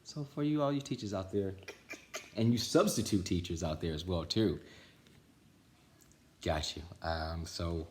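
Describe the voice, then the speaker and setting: A man reading a children's picture book aloud as storytime, with expressive, dramatic phrasing. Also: low-pitched, measured pace, pauses often, slight background noise. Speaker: storytime reader.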